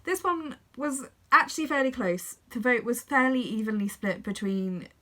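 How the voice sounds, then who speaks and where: A woman's voice: a little high, lively intonation, moderate pace, a bit bright. A young woman with a congested, snuffly voice from a head cold, chatting casually to camera about the books she plans to read and often stopping to correct herself. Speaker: book vlogger.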